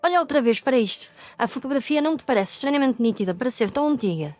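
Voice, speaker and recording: A woman's voice: sits high, animated, fast talker, a little mellow, soft background music. A woman playing a determined, curious young ghost-story podcaster, earnest and articulate, with a European Portuguese accent. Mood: intrigued. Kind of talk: audio ghost story acting.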